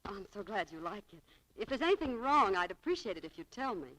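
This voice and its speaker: An actress playing a spirited, wheedling young shopgirl, quick to take offence, in 1940s radio style. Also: mid-range voice, very animated, fairly bright tone, rough recording. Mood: eager, flattering. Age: young adult. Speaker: radio actress in a romantic comedy. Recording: vintage 1940s radio broadcast.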